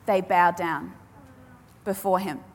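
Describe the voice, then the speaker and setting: A woman preacher, a native Polish speaker, giving an emphatic sermon to a congregation and repeating key phrases with dramatic pauses. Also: lowish voice, fairly even intonation. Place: large hall with audience. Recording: long hall reverb.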